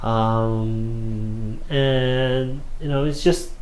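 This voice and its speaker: A man in his thirties from South Korea, speaking English with a Korean accent as an interview guest, halting with many fillers and restarts. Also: mid-range voice, fairly even intonation, a little mellow. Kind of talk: casual interview answers. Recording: roomy echo.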